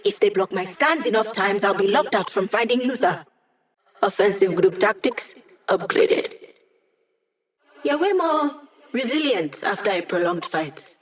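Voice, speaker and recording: A woman's voice: mid-range voice, animated, a little slow, pauses often, distant mic, natural voice. Game role, woman actor: young female hacker as a digital projection, teasing, sassy, sure of herself, dry sarcasm. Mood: focused. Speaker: video game voice actress. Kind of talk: video game character voice lines.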